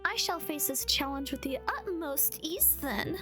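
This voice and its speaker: A woman's voice: sits high, expressive, measured pace, bright, forceful tone, faint music behind, heavily acted. A woman playing a theatrical, confident young lady with water magic in a fan dub, with grandiose delivery. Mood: confident, playful.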